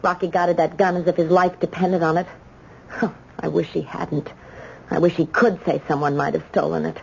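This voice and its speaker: An American actress in a radio mystery playing an earnest, insistent wife, speaking in a firm, pointed way. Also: female voice, lowish voice, little pitch movement, normal speed, gravelly. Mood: pleading, adamant. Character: earnest, insistent, loyal wife of accused man. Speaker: radio drama actor. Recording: dull, noisy old radio broadcast.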